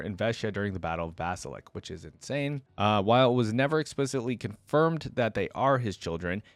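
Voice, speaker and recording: A man's voice: on the low side, no room sound. A man hosting a reaction video, reading game lore aloud and dropping in informal, casual asides. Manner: informal asides between read passages.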